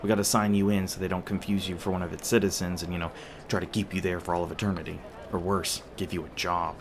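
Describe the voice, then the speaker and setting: A man's voice: low-pitched, level tone, quick pace, noisy background. A man playing a young demon boyfriend in an ASMR roleplay, speaking casually with teasing jokes, sweetness and hesitant asides.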